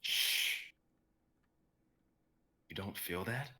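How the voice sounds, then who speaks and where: A defiant hero, played by a man in a script reading, with a low, forceful voice. He stays cool-headed and taunts his enemy calmly. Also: very slow, full character voice. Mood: coolly defiant.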